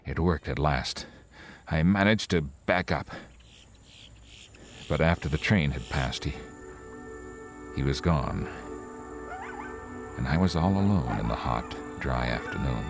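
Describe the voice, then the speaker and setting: A man acting a haunted, desperate lone driver in a radio drama, with a halting, stammering, anxious delivery. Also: a bass voice, expressive, music in the background, full character voice. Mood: haunted, bleak. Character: haunted, desperate male driver crossing the country alone. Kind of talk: old-time radio drama acting, dialogue and narration.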